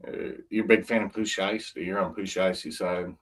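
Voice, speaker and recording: A man's voice: sits low, flat delivery, a little fast, fairly bright tone. A man co-hosting a sports podcast, telling wisecracking stories in casual banter. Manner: wisecracking storyteller. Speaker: podcast co-host.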